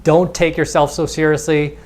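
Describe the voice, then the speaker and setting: A man's voice: on the high side, little pitch movement, normal speed, a little mellow. A man coaching as a dating instructor, talking casually, repeating his points and acting out snippets of dialogue. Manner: casual, repetitive, acts out quoted dialogue. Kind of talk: instructional monologue.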